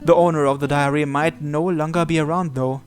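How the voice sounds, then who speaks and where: High-voiced man reading a story aloud. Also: steady tone, brisk. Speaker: gamer reading a visual novel aloud. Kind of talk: read-aloud story narration. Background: game music.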